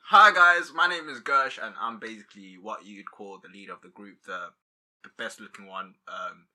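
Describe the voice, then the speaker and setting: A man's voice: on the low side, normal speed, bright, forceful tone. A young man, introducing himself in a boastful, joking way on a casual podcast. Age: young adult. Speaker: podcast guest. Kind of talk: casual chat.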